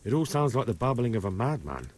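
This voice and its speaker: A man's voice: mid-range voice, sing-song, fast talker, a little mellow. An actor playing an earnest, respectful young man in a medieval video game, speaking plainly. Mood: determined.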